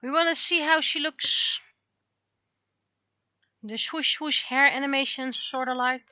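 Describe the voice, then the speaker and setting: Woman chatting on a livestream about her own project, bright forward voice, dry but kinda dull lo-fi audio. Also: high voice, unhurried, no echo. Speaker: livestreamer, webcomic and visual novel creator. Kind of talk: casual livestream commentary on own work.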